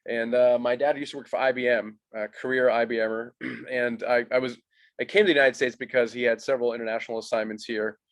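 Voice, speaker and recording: A man in his forties from Germany, whose first language is German, telling his backstory as a guest in a brisk, matter-of-fact way. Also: mid-range voice, little pitch movement, no room sound. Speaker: guest investor.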